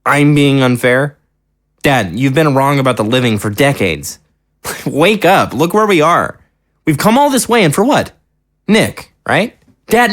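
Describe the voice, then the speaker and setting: A man's voice: high-pitched, a bit bright. An actor playing a bitter, sarcastic, argumentative young man in a naturalistic audio drama. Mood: angry, despairing. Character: bitter, defiant, sarcastic son, a young male zombie.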